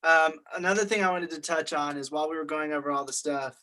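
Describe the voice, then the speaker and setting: A man's voice: a little high, flat delivery, fast, sharp tone. A man in a casual group discussion, talking in a conversational, hedging way. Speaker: media studies student.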